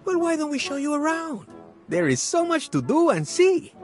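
Lively cartoon cat dad. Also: male voice, extremely high pitch, normal speed, faint music behind. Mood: welcoming. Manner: welcoming, enthusiastic. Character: welcoming cat father, cheerful city guide. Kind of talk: children's cartoon dialogue.

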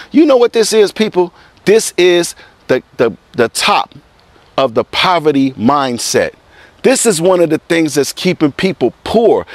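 A man's voice: medium pitch, no echo. A middle-aged man giving a motivational talk to camera outdoors on a lapel mic, preachy and repetitive, calling his viewers "people". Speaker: motivational vlogger. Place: outdoors in front of evergreen trees. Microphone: clip-on lapel mic.

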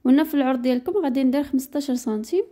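Woman, Moroccan Arabic accent, sewing teacher doing a voice-over; quick, matter-of-fact, high and mellow. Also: fairly even intonation. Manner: brisk, matter-of-fact, reading out measurements. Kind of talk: step-by-step sewing tutorial voice-over.

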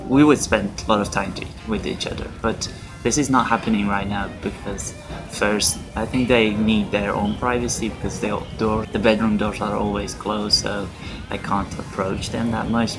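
A man from Iran, an international student, giving thoughtful interview answers in a university lobby. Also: fairly low pitch.

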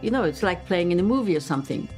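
A woman's voice: fairly low pitch, animated, rapid-fire, a little mellow, soft background music. A woman in her fifties whose first language is German, speaking reflectively in a documentary interview. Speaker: interviewee, former undercover agent.

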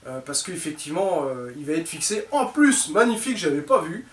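A man's voice: a little high, big pitch swings, fairly quick. A man in his thirties chatting casually to his viewers about new tools in his home workshop. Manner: chatty, informal, addresses viewers as "les gars". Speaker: woodworking workshop host. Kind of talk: casual tool unboxing talk. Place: cluttered home workshop indoors. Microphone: camera mic from a distance. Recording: roomy echo, distant mic, faint noise.

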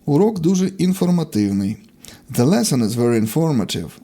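A man's voice: fairly high pitch, very animated, fairly quick, warm tone. A man teaching a language lesson, reading out short, separate example sentences in an instructional way. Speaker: English teacher.

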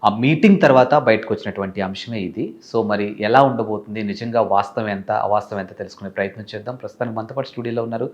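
A man's voice: lowish voice, fast talker, hardly any pauses, lo-fi sound. A man presenting and asking questions as the host of a news interview. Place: TV studio.

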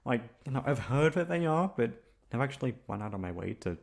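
A young man acting a shy, flustered, sweet-natured male classmate in an ASMR roleplay, speaking in a halting, stammering way. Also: medium pitch, sing-song, creaky. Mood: relaxed, shy. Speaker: voice actor. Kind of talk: ASMR roleplay acting, one-sided dialogue.

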